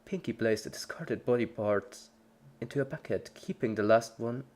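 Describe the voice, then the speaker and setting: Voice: mid-range voice, normal speed, a little mellow. A narrator giving a scripted dramatic reading of a story in the third person. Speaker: fan fiction narrator.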